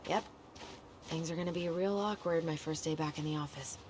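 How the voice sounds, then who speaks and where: Against clear background noise, an actress plays a young American woman with a fairly low voice. She is a caring, wry sister who doubts herself, in naturalistic game cutscene style. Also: fairly even intonation, brisk, lightly acted. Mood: subdued, wry. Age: young adult.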